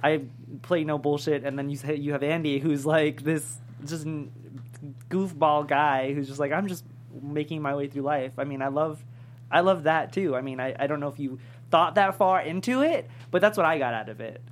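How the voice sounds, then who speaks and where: A woman's voice: low voice, moderate pace. A woman from New York interviewing guests in a casual, chatty style. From New York, United States. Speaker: interviewer on a table-read show. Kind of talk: casual chat. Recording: audible background hum and noise.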